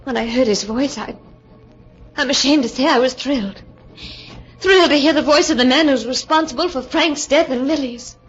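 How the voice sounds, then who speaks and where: A woman's voice: sits high, normal speed, slightly rough. An actress playing a distraught young woman torn between love and hatred, in an intense, theatrical 1940s radio melodrama style. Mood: anguished, resolute. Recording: dull 1940s radio recording with music.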